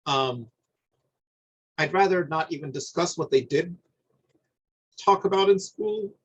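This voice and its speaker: A middle-aged man from New Jersey talking in a thoughtful, halting way, often repeating words as he searches for them. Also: fairly high pitch, slightly rough, highs cut off. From New Jersey, USA. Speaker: guest. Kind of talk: reflective conversation.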